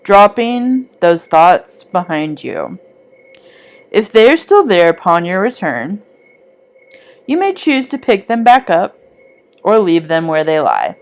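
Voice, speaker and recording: Woman doing a guided meditation, lowish voice, really slow and soothing, lots of pauses. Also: sing-song. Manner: soothing, deliberate, with long pauses. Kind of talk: guided meditation, scripted narration.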